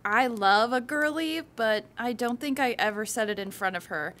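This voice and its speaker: A woman's voice: a little high, moderate pace, a bit bright, close to an everyday voice. A young woman playing an eager, caring female college student in an audio drama. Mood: warm, eager. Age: young adult. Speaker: student actor.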